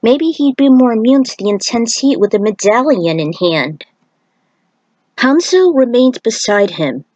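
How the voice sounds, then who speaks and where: A woman's voice: a little high, lively intonation, unhurried, darkish tone, no room sound. Dry-sounding woman narrating a story.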